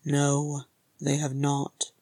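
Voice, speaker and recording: A woman voicing a brooding, gruff, regal male dwarf king in a story, with a grim, terse and solemn manner. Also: low voice, completely flat delivery, drawn-out words, dark tone, no room sound, full character voice.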